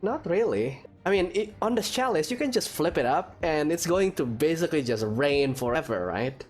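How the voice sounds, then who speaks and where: Animated gamer commentating casually. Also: mid-range voice, moderate pace. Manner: chuckles often, joking. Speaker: gamer, let's play host. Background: faint game music.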